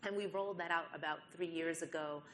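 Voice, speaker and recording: A woman speaks on a panel in a steady, explanatory way, with frequent fillers. Her voice is very low for a woman, and a faint low rumble sits under the recording. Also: quick pace. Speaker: venture capital investor, panelist. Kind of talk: live panel discussion.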